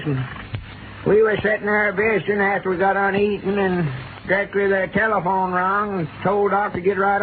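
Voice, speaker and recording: Male actor for folksy rural Southern man, neighborly and kind, high voice, noisy 1940s radio recording. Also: natural voice. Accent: rural Southern American. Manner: folksy, homespun country talk. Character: kindly rural old-timers trudging through snow on charity errand. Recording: tinny, noisy old radio broadcast recording.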